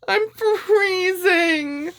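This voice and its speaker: A woman voicing a greedy, bullying male lord in a storybook, loud and demanding. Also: very high-pitched, drawn-out words, pitched above the performer's own voice, exaggerated voice. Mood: panicked. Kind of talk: storybook read-aloud character voice.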